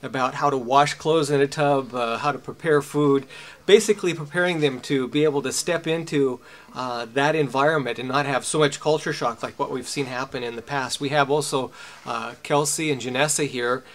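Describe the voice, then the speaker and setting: A man's voice: mid-range voice, steady tone, moderate pace, a bit bright. A middle-aged man with an American accent, introducing and explaining a programme informally as its host and teacher. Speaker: host and boot camp teacher.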